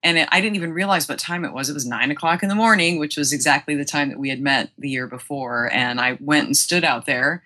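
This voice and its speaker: A woman tells a story in a casual, conversational way. Her voice sits quite low for a woman, yet it has a bright, forward edge. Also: fast talker, few pauses. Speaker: interview guest.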